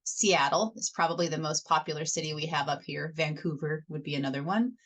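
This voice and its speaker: An American woman explaining her work in measured phrases as a panelist on an online call. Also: low-pitched, fairly bright tone. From United States. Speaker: panelist, head of education. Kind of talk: self-introduction on a panel.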